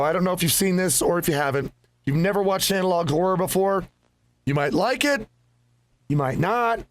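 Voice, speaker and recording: A man hosting a game playthrough, chatting casually in a musing, rambling, conversational way. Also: on the high side. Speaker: host of a gaming channel.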